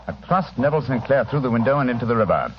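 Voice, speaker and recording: An actor playing a shrewd, courteous gentleman detective with a British accent, precise and analytical, in a vintage radio drama. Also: medium pitch, animated, fast talker, natural voice. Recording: noisy, muffled old radio recording.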